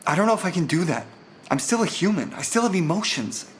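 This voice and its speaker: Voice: mid-range voice, very animated, fast talker, close to an everyday voice. An actor playing an earnest, idealistic young male rookie cop in an audio drama, eager and emotional. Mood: upset, earnest.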